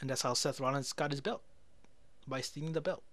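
A man's voice: mid-range voice, fairly quick, noisy background. A young man with a slightly worn voice rambling through casual, sweary let's play commentary. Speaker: gaming YouTuber. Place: indoor room at home. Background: wrestling game audio, loud music.

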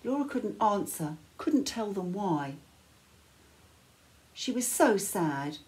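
Older lady doing a picture book read-aloud, slow, lots of up and down in her voice. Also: medium pitch, big pitch swings, darkish tone. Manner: measured, dramatic phrasing with pauses. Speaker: storyteller reading a children's bedtime story. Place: small living room, indoors.

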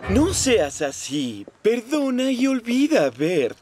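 A man's voice: very high voice, huge pitch swings, slow pace, darkish tone, a little hiss or hum, exaggerated voice. A man voicing a kindly, forgiving railway worker in a cartoon dub with a Latin American accent. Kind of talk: cartoon voice acting.

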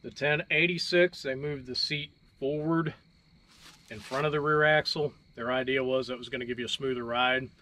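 A man's voice: mid-range voice, fairly even intonation, normal speed, bright, forceful tone, slight background noise. A man hosting a farm video, casually explaining tractor features in a plainspoken, folksy way and thinking aloud as he goes.

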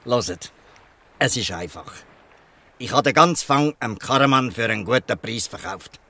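An actor with a Swiss German accent playing a weary, good-natured old fisherman who explains things haltingly in a dialect audio drama. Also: medium pitch, expressive, gravelly, bright, forceful tone, exaggerated voice. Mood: explaining, resigned.

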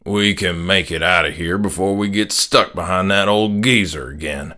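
A man's voice: sits low, moderate pace, pushed and bright. A man voicing a rude, selfish dad in an audiobook, with a snide, brash, impatient manner.

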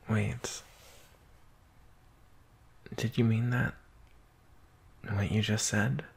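A young man playing an anxious, devoted werewolf in an intimate ASMR romantic roleplay, speaking hesitantly in a husky, breathy voice. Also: lowish voice, fairly even intonation, drawn-out words, pauses often, slight background noise, exaggerated voice. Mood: surprised, tender. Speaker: roleplay voice actor.

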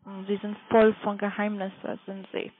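Husky foreign-accented saleswoman on the phone. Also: medium pitch, monotone, normal speed. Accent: foreign accent in German. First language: not German. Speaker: telemarketing caller for a trading firm. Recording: tinny phone line.

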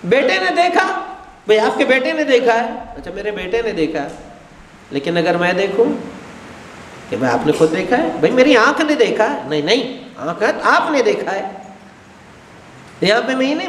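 A man's voice: very high-pitched, echoey room, in a large hall, a little hiss or hum. A middle-aged man giving a religious lecture, acting out little dialogues and gesturing broadly, on a headset mic. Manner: animated, gestures widely, acts out everyday dialogues. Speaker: Shia cleric lecturing. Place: studio with a blue backdrop, indoors.

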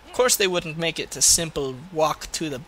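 A man's voice: on the high side, normal speed, fairly bright tone, slight background noise, close to an everyday voice. A man putting on a Russian accent as a crude, boastful, grumbling gamer, giving comic gameplay commentary in broken English. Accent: put-on Russian. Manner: crude, swearing, mock-menacing, broken English.

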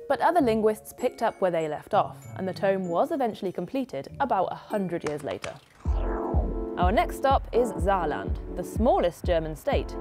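A woman in her thirties presenting a travel show, speaking to camera in an upbeat, scripted way. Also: medium pitch. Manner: lively, upbeat storytelling. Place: outdoors in town streets and vineyards.

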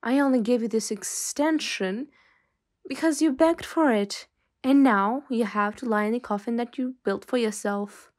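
A woman playing a stern, weary boss in an ASMR roleplay, speaking in a measured way with sighs and hesitant pauses. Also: on the high side, darkish tone, close to an everyday voice. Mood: stern, impatient. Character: stern, weary female mafia boss scolding an employee. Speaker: ASMR voice actor.

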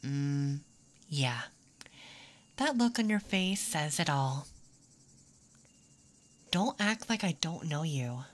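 Low, slow, teasing young tomboy played by a woman. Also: animated. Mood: playful teasing. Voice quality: soft, breathy, sometimes whispering. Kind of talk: intimate ASMR roleplay. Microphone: close microphone.

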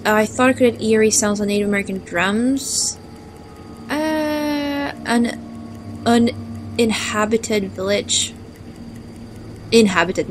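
A woman narrating her playthrough of a game, chatting, reading text aloud and reacting with quick startled exclamations. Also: medium pitch, slight background noise, poor audio. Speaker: gaming video host.

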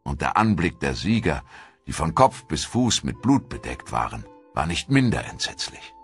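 A man reading a scripted historical documentary narration in a solemn, measured storytelling manner. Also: very deep voice, very animated, soft background music.

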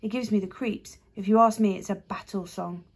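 A woman's voice: medium pitch, fairly even intonation, fairly quick, slightly rough. Restless young boy, blunt and uneasy; woman reader voices him.

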